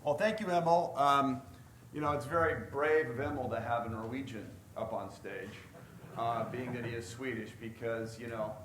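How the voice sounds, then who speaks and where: A man's voice: medium pitch, normal speed. Guy from silicon valley telling stories up on stage, lots of uhs, echoey room with faint hum. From Silicon Valley, California. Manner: casual, anecdotal, many "uh" fillers. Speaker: guest speaker, investor. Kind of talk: conference stage talk. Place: large conference hall. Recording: roomy hall, faint ambience.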